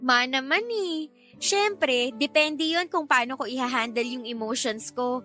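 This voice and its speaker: Very high, polite teenage girl. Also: a little slow, sharp tone, faint music behind. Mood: earnest. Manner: polite, earnest. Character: eager, polite, respectful teenage niece. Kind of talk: Tagalog radio drama acting.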